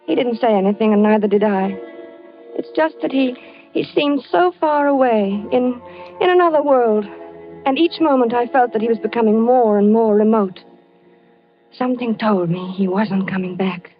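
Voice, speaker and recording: An actress playing an anguished, haunted wife in an old-time radio thriller, speaking and narrating with hushed dramatic intensity. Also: female voice, a little high, normal speed, close to an everyday voice. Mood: wistful, uneasy. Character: anguished wife haunted by her husband's fatal dreams, narrating. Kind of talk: old-time radio suspense acting, first-person narration. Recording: dull vintage radio recording with music.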